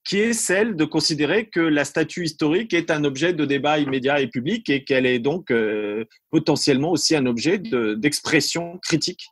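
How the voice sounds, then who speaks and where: Husky man, dry sound, thinking aloud. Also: a little high, a little fast, hardly any pauses, no echo. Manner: hesitant, repeating words, tagging phrases with "hein". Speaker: academic historian, interview guest. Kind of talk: unscripted analytical commentary.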